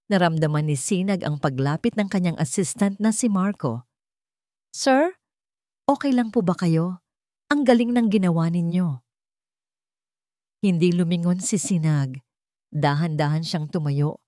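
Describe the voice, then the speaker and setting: Low-pitched woman narrating a dramatic story. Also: a little mellow, dry recording, studio quality.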